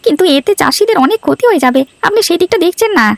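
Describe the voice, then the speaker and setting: A woman's voice: very high voice, lively intonation, very fast, vocal fry, no room sound, exaggerated voice. A woman voicing a poor, submissive, hesitant villager in a cartoon folk tale. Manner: meek, complaining, deferential. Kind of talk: Bengali cartoon fairy-tale dialogue.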